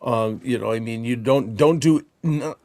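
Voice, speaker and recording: Male co-host, medium pitch, casual explainer who thinks aloud, dry close sound. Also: unhurried, a little mellow, dry recording. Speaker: podcast co-host and Christmas performer. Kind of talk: casual instructional discussion.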